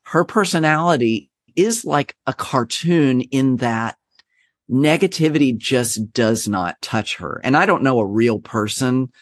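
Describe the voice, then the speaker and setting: A man from Texas speaks at a middle pitch in a dry, close recording. He talks as a guest about his drag performing, rambling and self-deprecating with plenty of animated asides. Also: measured pace, dry recording. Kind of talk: conversational interview.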